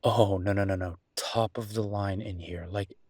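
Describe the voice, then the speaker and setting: Man acting as a husky, playful chef boyfriend. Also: fairly low pitch, steady tone, normal speed, darkish tone, dry recording, studio quality, natural voice. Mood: enthusiastic, opinionated. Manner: conversational, playful, exasperated banter. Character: easygoing, teasing human chef boyfriend, gadget-loving and affectionate. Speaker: audio roleplay performer. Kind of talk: intimate one-sided boyfriend audio roleplay.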